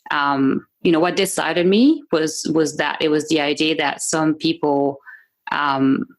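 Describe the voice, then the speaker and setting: A woman's voice: low voice, steady tone, a little slow. A woman with a French accent, a native French speaker, chatting as a guest on a podcast interview, laughing often and restarting her sentences. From France. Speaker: guest, membership business owner.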